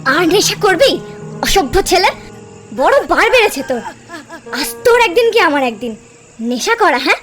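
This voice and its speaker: A woman playing an angry widowed mother in a cartoon drama, shrill and scolding with melodramatic delivery. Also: sing-song, moderate pace, long pauses, fairly bright tone, soft background music, full character voice. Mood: furious scolding.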